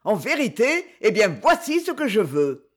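A woman reading aloud and voicing a stout, blustering old male general who shouts in panic and fury. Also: fairly high pitch, big pitch swings, normal speed. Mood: furious.

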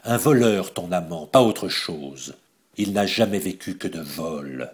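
A man's voice: low-pitched, animated, measured pace, husky, heavily acted. Man voicing a sneering cruel woman villain. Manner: cold, cutting, sneering. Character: cruel scheming woman villain, furious and cold.

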